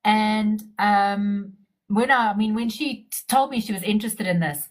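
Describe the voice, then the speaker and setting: A woman, a digital marketer, talking bluntly and openly in a relaxed conversation over a video call. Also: mid-range voice, normal speed. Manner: blunt and outspoken. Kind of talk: casual conversation.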